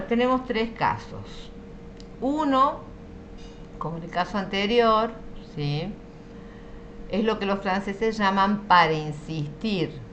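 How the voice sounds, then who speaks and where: A woman's voice: mid-range voice, expressive, takes it slowly, pauses often, a bit bright, dull audio, slight background noise. A woman with an Argentine accent teaching French in an online class, patient and explanatory, often checking that students follow. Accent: Argentine Spanish.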